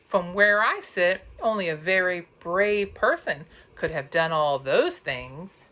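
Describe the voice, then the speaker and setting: A woman voicing a calm, folksy elderly grandmother in a storybook read-aloud, soft and reassuring. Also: lowish voice, slow, heavily acted. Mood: warm, encouraging.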